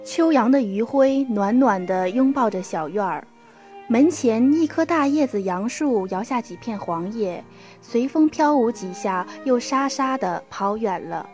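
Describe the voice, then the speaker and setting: A woman's voice: a little high, expressive, a little slow, a little mellow. A young woman reading scripted storytelling narration for a student radio drama. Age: young adult. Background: music.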